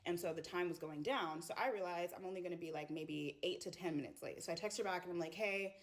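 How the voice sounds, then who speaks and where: Chatty woman storyteller, slightly low voice. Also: fast talker. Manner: conversational, acts out dialogue. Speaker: customer telling a story time. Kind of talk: chatty story time to camera.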